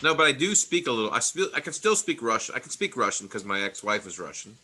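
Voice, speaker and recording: A man's voice: medium pitch, sing-song, fast talker, pushed and bright. A middle-aged man telling his story in a casual interview, rambling with many restarts and laughing between thoughts. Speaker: interview guest, Army veteran.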